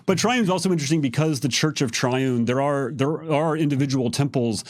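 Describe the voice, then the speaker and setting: A man's voice: medium pitch, brisk, dry recording. A man on a livestream panel explaining game lore casually, stressing and restating his points.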